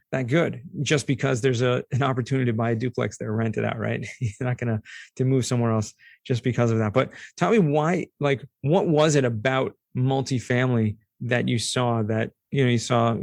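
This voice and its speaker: A man with a slightly hoarse voice, hosting a conversational podcast interview and thinking aloud as he goes. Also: mid-range voice, darkish tone, no room sound, studio quality. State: a little hoarse. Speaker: podcast host.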